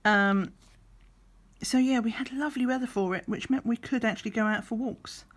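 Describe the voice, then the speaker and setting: A middle-aged woman, slightly croaky, chatting in a rambling way while doing a craft, sometimes counting under her breath. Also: on the high side, moderate pace. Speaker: crafting vlogger. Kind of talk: relaxed chatty craft vlog. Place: at a craft table indoors.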